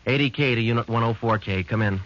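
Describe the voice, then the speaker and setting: A man's voice: medium pitch, fast, lo-fi sound, a crowd in the background, close to an everyday voice. An actor playing a weary police detective sergeant, narrating his case in a terse, understated American voice in a 1950s radio drama. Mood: brisk, official. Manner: terse, matter-of-fact, understated. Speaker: narrator and lead character. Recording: noisy, band-limited vintage radio recording.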